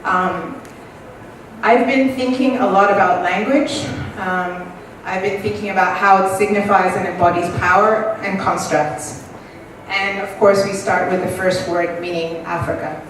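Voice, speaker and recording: Woman giving a talk, thoughtful, lots of ums, far off mic in a big boomy room. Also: fairly even intonation, a little slow. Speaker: guest speaker. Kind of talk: public talk. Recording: echoey room from far off, noisy.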